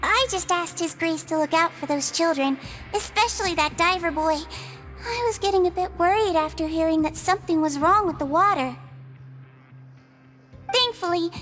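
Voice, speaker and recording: A woman voicing a small, gentle nonhuman nurse in a video game, childlike but kindly and attentive like an older sister. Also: extremely high pitch, normal speed, faint music behind. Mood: explaining. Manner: kindly, attentive, politely explaining.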